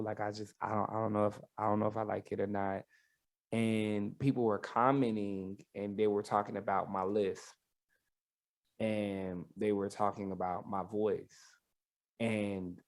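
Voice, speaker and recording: Lisping Southern man, fairly low voice. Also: darkish tone. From Tennessee, United States. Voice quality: lisp. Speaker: guest, R&B soul singer. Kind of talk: candid podcast interview. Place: studio.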